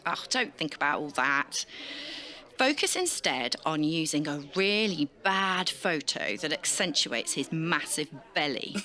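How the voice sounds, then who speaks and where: A woman's voice: sits low, very animated, measured pace, sharp tone, heavily acted. A British woman playing a breezy, sarcastic political correspondent with a theatrical manner in an audio drama. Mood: breezy, bossy.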